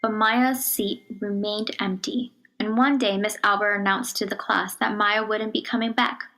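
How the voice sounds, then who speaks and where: A woman reads a children's picture book aloud in a measured, expressive storytelling manner, dropping to a hush on some phrases. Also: medium pitch, rough recording. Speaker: storybook reader.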